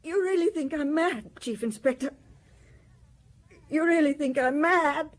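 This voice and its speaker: A woman playing a haughty, highly strung wealthy wife under suspicion in a radio detective drama, breathy, theatrical and defensive. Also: a little slow. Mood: desperate.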